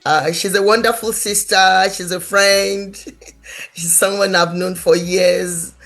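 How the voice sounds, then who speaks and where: A woman hosting a conversational interview in a gentle, encouraging way. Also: medium pitch, steady tone, slow, faint music behind. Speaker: interview host.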